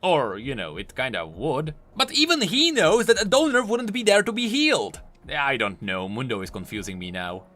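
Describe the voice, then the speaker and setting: Male lore video host: scripted, emphatic commentary, sharp tone, hugely lively pitch, music bed. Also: normal speed, hardly any pauses. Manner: emphatic, swooping delivery. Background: background music.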